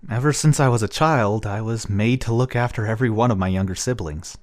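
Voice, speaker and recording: An actor playing a gentle, dutiful young worrywart of an eldest brother in an anime-style manga dub, earnest and reassuring. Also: male voice, on the low side, a little fast, close to an everyday voice. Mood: wistful, confiding.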